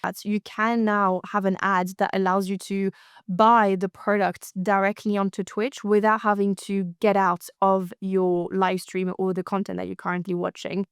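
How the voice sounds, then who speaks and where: A woman's voice: medium pitch, flat delivery, moderate pace, clean tone, no echo, studio quality. A woman co-hosting a casual podcast, chatting loosely with lots of fillers and restarts. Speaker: co-host.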